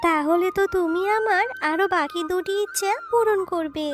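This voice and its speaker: A woman's voice: very high-pitched, normal speed. A woman voicing a poor, hardworking mother fox in a children's cartoon, earnest, plaintive and grateful. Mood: grateful, hopeful. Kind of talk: children's fairy-tale cartoon dubbing. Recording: children's music behind the voice.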